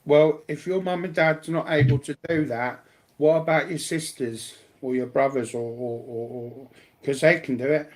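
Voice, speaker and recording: A man's voice: a little high, normal speed. A man talking personally and bluntly, repeating phrases to drive his points home. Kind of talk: emphatic personal talk, partly confrontational.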